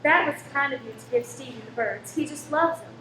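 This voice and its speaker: Actress as spirited, loyal cinema wife in radio drama; high pitch, echoey room. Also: female voice, fairly quick, lightly acted. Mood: warm. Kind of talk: amateur radio play acting. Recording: roomy echo with faint noise.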